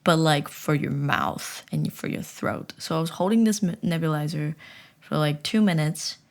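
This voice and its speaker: A woman's voice: sits low, moderate pace, creaky. A young woman vlogging in a casual, chatty way, telling a story with self-mocking humor and many restarts.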